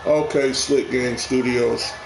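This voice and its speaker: A man's voice: mid-range voice, fairly even intonation, takes it slowly, music in the background. A man hosting a live game stream, rambling and repeating himself as he talks to viewers. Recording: echoey room with clear background noise.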